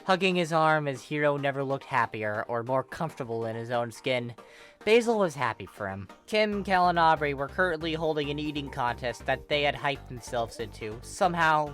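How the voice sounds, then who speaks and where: An expressive reader narrating a comedic fan fiction story in an informal, wry and dramatic way. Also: mid-range voice. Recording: background music under the voice. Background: video game style music.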